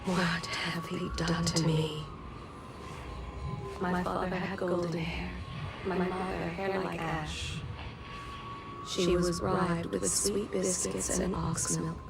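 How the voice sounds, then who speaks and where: An actress voicing an eerie, mournful oracular spirit in a video game, solemn and detached. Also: female voice, low-pitched, vocal fry, full character voice. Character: mournful, oracular female spirit whispering secrets. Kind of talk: slow, cryptic video game voice acting. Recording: loud music behind the voice.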